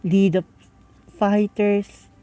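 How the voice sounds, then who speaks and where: A woman reading a visual novel aloud with casual asides, halting and stumbling over words as she goes. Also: medium pitch, level tone, dark tone, muffled recording. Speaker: reader and commentator.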